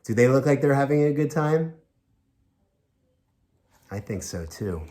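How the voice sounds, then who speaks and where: Animated man reading to young kids. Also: medium pitch, a little slow. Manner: expressive, child-directed, asks the listener simple questions. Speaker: teacher reading a picture book to children. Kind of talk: storytime read-aloud with questions to young children.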